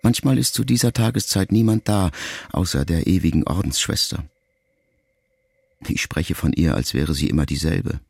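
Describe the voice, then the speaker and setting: A middle-aged man reading a literary memoir aloud in a measured, reflective first-person voice. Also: sits low, vocal fry, a little mellow, breathy edge, dry recording. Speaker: audiobook narrator. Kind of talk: scripted literary narration.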